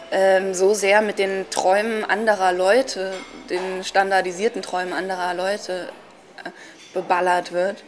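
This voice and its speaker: A young woman giving a reflective interview statement, thinking aloud and pausing often to find her words. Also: medium pitch. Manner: thoughtful, searching for words with many hesitations.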